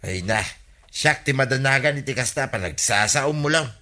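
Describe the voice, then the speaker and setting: A bright-voiced, earnest village uncle. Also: male voice, mid-range voice, expressive, normal speed. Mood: earnest, concerned. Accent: Ilocano. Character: earnest older village uncle, concerned and advising. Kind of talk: Ilocano comedy radio drama acting.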